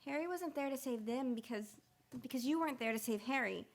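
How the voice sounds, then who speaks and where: A woman's voice: high-pitched, brisk. A woman playing an earnest, easily flustered female guardian angel in a radio play. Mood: gentle, solemn. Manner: earnest, excitable, hesitant when flustered.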